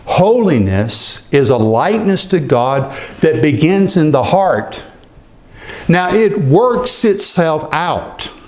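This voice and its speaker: A man's voice: fairly high pitch, sing-song, takes it slowly, darkish tone. A man pastor preaching an earnest expository sermon, sometimes repeating a word as he goes.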